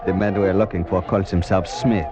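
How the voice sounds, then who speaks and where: An actor playing a businesslike French intelligence contact in a 1950s radio drama, matter-of-fact and clipped. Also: male voice, low-pitched, fairly even intonation, moderate pace, loud music behind the voice, lightly acted. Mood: businesslike, secretive. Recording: muffled old radio recording, loud backing sound.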